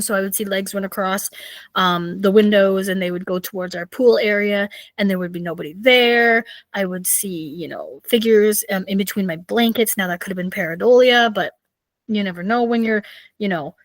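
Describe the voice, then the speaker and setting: Woman, raspy, thirties, podcast host, rambling chatty storytelling, mid pitch. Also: no echo. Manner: chatty, rambling, with many fillers. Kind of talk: casual solo storytelling.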